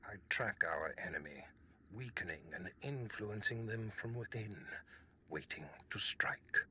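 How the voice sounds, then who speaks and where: A Sith spymaster with a low, piercingly forceful voice. He speaks formally and imperiously, measuring each order with cold menace, in cinematic video game style. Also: expressive, a little slow, a little hiss or hum, exaggerated voice. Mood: cold, commanding. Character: commanding scheming Sith lord, cold master of spies. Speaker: voice actor in a game.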